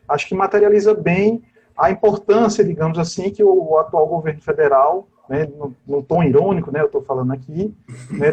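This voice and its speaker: A fairly high-voiced man with a husky, slightly breathy voice, giving an interview. He talks at length in a discursive way and keeps tagging his sentences with little questions. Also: a little mellow, lo-fi sound. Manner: discursive, with constant tag questions. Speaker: interviewed teacher. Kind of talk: political interview over a live stream.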